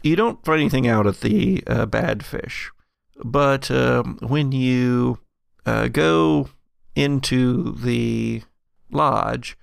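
Game master, male, heavy vocal fry, halting improvised narration, very dry close sound. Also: mid-range voice, no echo.

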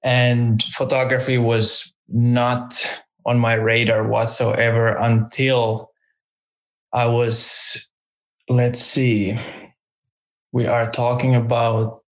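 Guy, photographer guest, slow and hesitant, keeps restarting. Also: mid-range voice, monotone, poor audio. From Finland. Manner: hesitant, many restarts and fillers. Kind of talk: casual interview talk.

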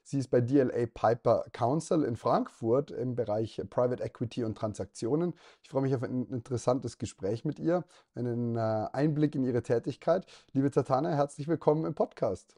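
A male podcast host with a medium-pitched, mellow voice. He introduces his guest and poses polite, measured questions in an even interviewing manner.

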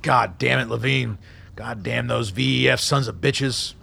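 An actor in a radio drama playing a blunt, profane old army colonel with a gravelly voice, ornery but philosophical and loyal. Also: male voice, mid-range voice, fairly even intonation, a little slow, pushed and bright, full character voice. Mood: angry, urgent. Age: older. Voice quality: gravelly, creaky.

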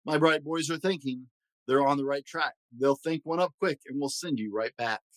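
A man's voice: mid-range voice, moderate pace, fairly bright tone, no room sound, close to an everyday voice, rough recording. A man voicing a confident, breezy, scheming chief who reassures emphatically in rhyme for a storybook. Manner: reassuring, emphatic rhyming speech.

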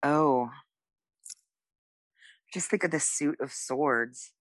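A woman banters casually on a podcast. She is playful and irreverent, quick to poke fun at herself. The sound is very dry, with little room to it. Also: sits low, no room sound. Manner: playful, irreverent, self-deprecating. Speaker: podcast co-host.

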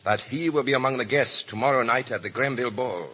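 An actor playing a cold, menacing French ambassador and spymaster in a 1930s radio drama, clipped and insinuating in manner. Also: male voice, medium pitch, quick pace, pushed and bright, breathy edge. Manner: clipped, insinuating, coolly formal. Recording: dull, noisy 1930s radio recording.

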